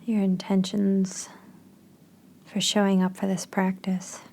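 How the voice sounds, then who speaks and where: A woman leading a guided meditation in a soft, breathy voice with gentle, unhurried, spaced phrases. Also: medium pitch, monotone.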